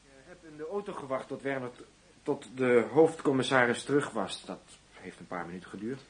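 An actor playing a guarded, loyal police inspector under questioning in a Dutch radio play, defensive and halting. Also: male voice, medium pitch, lively intonation, close to an everyday voice. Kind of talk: Dutch radio drama dialogue.

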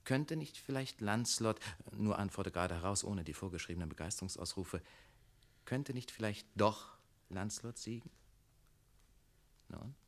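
A low-voiced, probing mayor's son. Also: male voice, lively intonation. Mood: coaxing, insistent. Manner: measured, coaxing, probing with questions. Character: mayor's probing son, smooth, insistent secretary. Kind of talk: German radio play acting.

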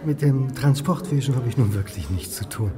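An actor playing a self-doubting, middle-aged lecturer in a radio drama, muttering with dry, self-deprecating wit. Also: male voice, mid-range voice, lively intonation, moderate pace, crowd noise, natural voice. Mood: dry, evasive. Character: self-doubting, brooding, dry-witted male history lecturer. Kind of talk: naturalistic radio drama acting.

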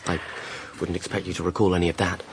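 An actor playing a calm male hospital doctor, hesitant and clinical, in a radio drama. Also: deep voice, fast talker, a little hiss or hum. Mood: gentle, hesitant. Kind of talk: naturalistic radio drama acting.

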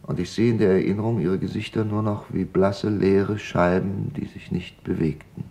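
An actor playing a grieving father who recalls wartime loss in a halting, reflective radio-play testimony. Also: low voice, steady tone, mellow. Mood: somber, subdued. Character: grieving father recalling his family's wartime arrest and deportation.

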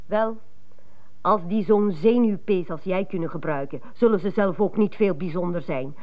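Actress as scornful nagging parent, slightly muffled. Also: female voice, lowish voice, moderate pace, close to an everyday voice. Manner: cutting, disparaging. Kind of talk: radio drama acting. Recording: slightly muffled old radio sound.

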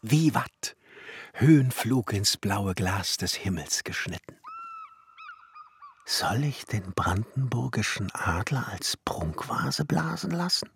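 An actor playing a solemn, visionary glassmaker and alchemist in a radio play, musing in literary German and often dropping into hushed tones. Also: male voice, fairly low pitch, very animated, slow, gravelly, a little hiss or hum, exaggerated voice. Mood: rapt. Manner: musing, declamatory, drops into hushed whispers. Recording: studio radio play with music underneath.

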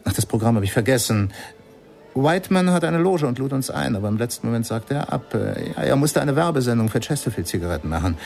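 Radio storyteller: old bandmate recalling wild days, animated melody, gravelly edge, faint music underneath. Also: male voice, mid-range voice, quick pace. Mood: amused, nostalgic. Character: amused male musician reminiscing about old band days.

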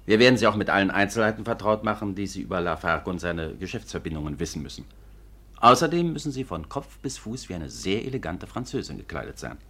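An actor playing a courteous, businesslike police detective in a 1950s radio drama, speaking formally and matter-of-factly. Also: male voice, deep voice, lively intonation, pushed and bright, husky, heavily acted. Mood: calm, courteous. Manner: measured, matter-of-fact, formal. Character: courteous, businesslike male Scotland Yard detective.

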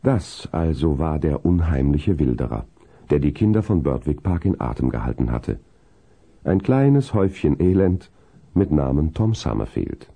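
A man narrating a seventies German radio play as a calm, kindly storyteller in measured, scripted prose. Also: very deep voice, creaky, exaggerated voice. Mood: measured storytelling.

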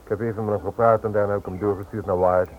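A man playing a courteous, guarded professional gentleman in a radio drama. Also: fairly low pitch, fairly even intonation, racing, phone-quality sound, lightly acted. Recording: thin, hissy old radio recording.

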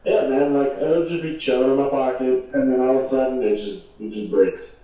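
A man's voice: mid-range voice, fairly even intonation, brisk, warm tone. A man in his twenties from the United States, chatting casually and slangily, recorded muffled and echoey from a distance. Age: 20s. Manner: slangy, mild swearing, says "bro" a lot. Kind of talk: casual chat. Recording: muffled, echoey, far from the mic.

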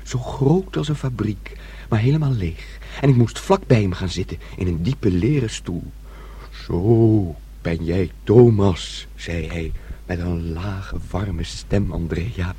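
Eager, husky young dreamer. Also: male voice, deep voice, slow pace, mellow, heavily acted. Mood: dreamy, eager. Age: young adult. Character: naive, excitable young dreamer, boyish and eager to please. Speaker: actor. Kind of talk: radio drama acting.

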